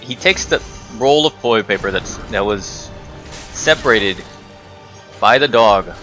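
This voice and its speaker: A man's voice: fairly low pitch, expressive, measured pace, sharp tone, soft background music. A man giving casual storytime commentary while playing a game, rambling, correcting himself and muttering swears at the game. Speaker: gaming video host telling stories while playing.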